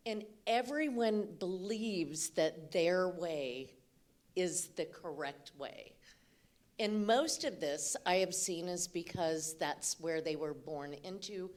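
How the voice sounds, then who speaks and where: A woman with a fairly low voice speaks at a very slow pace. She is an audience member putting a question to a panel, unscripted and informal, leaning on her words with candid emphasis. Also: a little mellow. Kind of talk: spontaneous spoken question to a panel.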